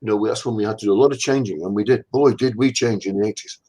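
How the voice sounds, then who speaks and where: A man's voice: on the low side, racing, mellow. An older man from England, a guest in an interview, telling rambling, self-deprecating stories from his career over a video call. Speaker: guest anatomist. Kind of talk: casual conversational interview.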